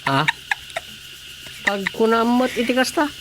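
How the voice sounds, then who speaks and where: A woman's voice: fairly high pitch, big pitch swings, a little slow. A woman playing a nosy, incredulous villager in a Filipino radio comedy drama, questioning and exclaiming with a Filipino accent. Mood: curious, incredulous. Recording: noisy background with insect and animal ambience.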